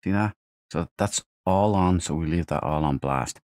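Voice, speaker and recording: A man's voice: sits low, normal speed, a little mellow, dry recording, studio quality. A man giving casual let's play commentary, muttering and thinking aloud as he goes. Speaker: gamer.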